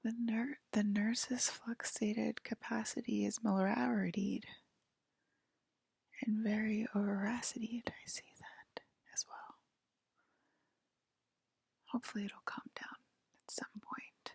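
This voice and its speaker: A woman whispering softly and soothingly in an improvised ASMR sci-fi roleplay, playing a calm alien abductor tending to a patient. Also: medium pitch, no echo. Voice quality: breathy whisper. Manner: soft, slow, soothing. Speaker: ASMR performer. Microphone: close microphone, dry.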